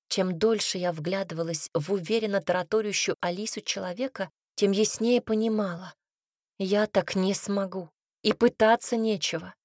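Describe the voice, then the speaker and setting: A woman narrating a first-person story as an audiobook, reading in an even, expressive way. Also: mid-range voice, dry recording, studio quality.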